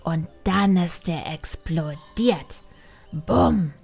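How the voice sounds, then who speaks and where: Young panda girl, played by a woman: plucky, easily offended, dramatic storyteller, measured pace, music underneath. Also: sits low, vocal fry, darkish tone, exaggerated voice. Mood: excited, boastful. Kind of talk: audiobook character acting.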